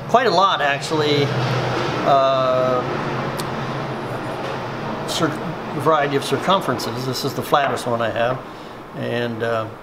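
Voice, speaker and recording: A middle-aged man giving a hands-on woodworking tutorial in a workshop, unhurried and plainspoken, with many ums and uhs. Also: mid-range voice, background noise. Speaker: woodworking instructor.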